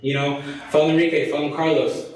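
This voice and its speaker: A man's voice: a little high, level tone, fairly quick, a little mellow, slight background noise. A young man from Colombia, a fundraising consultant, giving a friendly, joking presentation to a parish audience with many fillers. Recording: distant mic in a very echoey hall.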